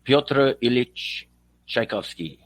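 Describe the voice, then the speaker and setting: A man from Poland interviewing a guest on a book podcast in a conversational, somewhat halting way. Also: medium pitch, animated.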